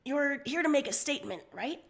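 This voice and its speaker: A woman voicing a short, chubby, irritable and foul-mouthed man in a fan fiction read aloud, brusque and quick to get loud. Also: high voice, expressive, gravelly, full character voice. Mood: brusque, apologetic. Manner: brusque, loud when angered.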